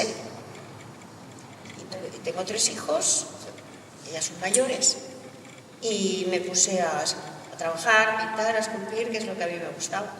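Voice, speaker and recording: A woman's voice: medium pitch, fairly bright tone, slight background noise. Chatty woman heard from far off in a hall. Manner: chatty, with light laughter. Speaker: panel speaker. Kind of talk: recalling her life in a public talk.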